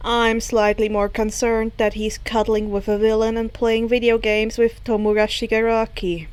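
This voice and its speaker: A woman voicing a reserved, deadpan teenage boy with a hesitant, understated delivery in a story reading. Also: on the high side, moderate pace, clear, resonant tone, natural voice. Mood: deadpan, uneasy.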